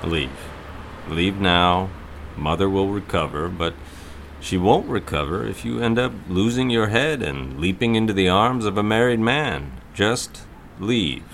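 A man acting a deep, hesitant, creaky-voiced father. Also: animated, a bit bright, slight background noise, heavily acted. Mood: earnest, urging. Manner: hesitant, halting, thoughtful. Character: gentle, concerned middle-aged father of a grown daughter. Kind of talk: audio drama acting.

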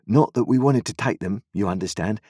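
A man's voice: fairly low pitch, mellow, breathy edge, no room sound, studio quality. A man voicing a kindly, plain-spoken country blacksmith in homely dialect, raised well above his own voice. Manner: homely dialect grammar, slow and easygoing.

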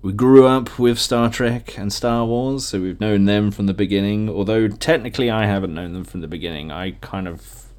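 Low-voiced British man, casually rambling. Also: fairly even intonation, normal speed, few pauses, darkish tone. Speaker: podcast host. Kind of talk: casual rambling solo reminiscing.

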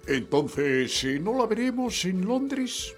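A man's voice: high voice, big pitch swings, faint music behind, exaggerated voice. An actor playing a hesitant, polite English husband in a radio play, stammering deferentially as he talks. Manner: halting, hemming, stammering deference. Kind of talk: radio theatre acting.

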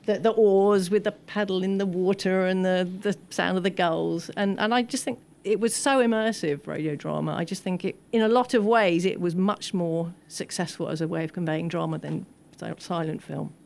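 A woman's voice: lowish voice, fairly even intonation, normal speed, creaky, hardly any pauses, darkish tone. A woman speaking as an expert guest on a film discussion panel, explaining things enthusiastically and discursively. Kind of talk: post-screening panel discussion.

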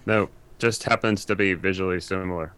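Middle-aged male guest expert, deep voice, casual and explanatory. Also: steady tone, brisk, a bit bright, clean tone.

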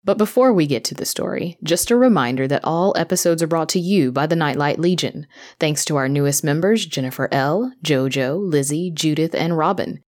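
Woman podcast host, notably low-pitched voice, for scripted show introductions. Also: expressive, moderate pace, a little mellow.

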